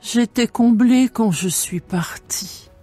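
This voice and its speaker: Woman acting a bitter grieving widow, older mother-in-law, mellow tone, unhurried delivery, soft music behind, Bible drama. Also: on the high side. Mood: bitter, sorrowful. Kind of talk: dramatised scripture reading.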